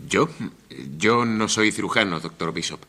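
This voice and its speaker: An actor in a radio drama playing an earnest, doubtful agent, speaking in a formal manner. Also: male voice, fairly low pitch, normal speed, bright, forceful tone. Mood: doubtful, questioning. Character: earnest, doubtful male agent recruited for a mission.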